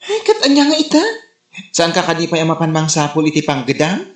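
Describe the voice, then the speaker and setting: Casting: actress as nosy scornful neighbour woman, Ilocano comedy drama, exclamatory, very lively intonation, Filipino accent, echoey room. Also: deep voice, fairly quick. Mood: bossy. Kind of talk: comedy radio drama acting.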